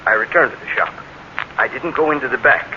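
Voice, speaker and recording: A man's voice: medium pitch, fairly quick, lightly acted. An actor playing a meek, nervous little businessman in 1940s radio drama style, hesitant and earnest. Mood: nervous, insistent. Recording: noisy, muffled 1940s radio recording.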